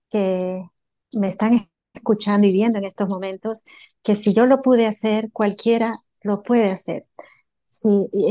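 A woman's voice: medium pitch, dark tone. A woman from Bolivia answering interview questions in an earnest way, with many filler sounds. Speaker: entrepreneur guest.

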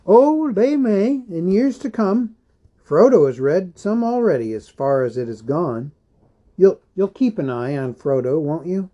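Guy doing a touchy old male hobbit from a storybook, pitched up, querulous and stubborn. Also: lively intonation, unhurried, dark tone, highs cut off. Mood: hopeful. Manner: hesitant, querulous, insistent. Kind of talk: dramatised storybook reading.

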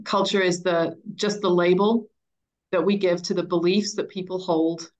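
A middle-aged woman speaking as an interview guest, explaining her work in a conversational way. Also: on the low side. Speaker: interview guest, education coach.

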